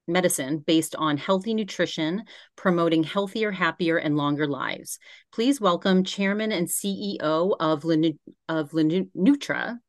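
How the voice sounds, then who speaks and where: A woman's voice: fairly low pitch, no room sound, clean studio sound. A woman hosting a podcast interview, reading a formal guest introduction and then asking friendly, chatty questions. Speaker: podcast host.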